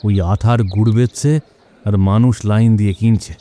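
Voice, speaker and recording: A man voicing a gruff, suspicious older tradesman in a cartoon, scolding and questioning. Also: fairly low pitch, steady tone, moderate pace, mellow, natural voice. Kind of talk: cartoon story voice acting.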